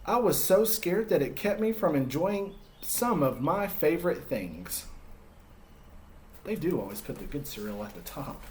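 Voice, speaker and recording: A man reads as an earnest male egg from a children's storybook, timid but persevering. The voice sits a little high and moves expressively up and down as he tells his own tale. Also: a little slow, background noise. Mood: subdued, regretful. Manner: earnest, expressive first-person storybook narration.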